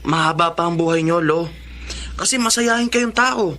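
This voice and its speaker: A man's voice: a little high, normal speed, bright, forceful tone. A man playing an eager, affectionate schoolboy in a radio drama, earnest and respectful with a Filipino accent. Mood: coaxing, fond. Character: eager, affectionate schoolboy who loves drawing.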